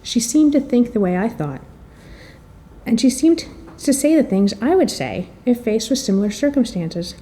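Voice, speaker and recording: A woman's voice: on the high side, expressive, moderate pace, warm tone, poor audio. A middle-aged woman presenting a prepared introduction, telling stories in an articulate, wry and slightly theatrical way. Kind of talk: prepared introductory speech with storytelling.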